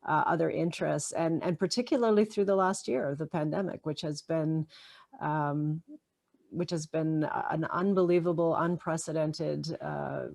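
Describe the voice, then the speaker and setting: Low-voiced woman hosting a video call. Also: fairly even intonation, moderate pace, gravelly, a little mellow. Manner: friendly, with frequent filler sounds. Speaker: host.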